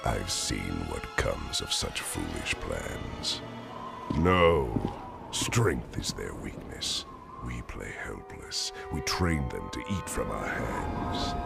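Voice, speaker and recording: Deep, gravelly tyrant baron. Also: male voice, very animated, slow, full character voice. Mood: cold, scheming. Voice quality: gravelly, growling. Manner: commanding, snarling, theatrical. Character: menacing, ruthless older male tyrant baron. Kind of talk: dramatic video game villain acting. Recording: loud music under the voice.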